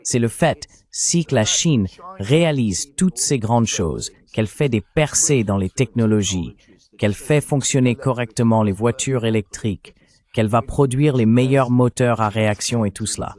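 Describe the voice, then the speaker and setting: A man's voice: medium pitch, moderate pace, darkish tone. A man's AI-generated voice reading a dubbed commentary in an emphatic, argumentative way.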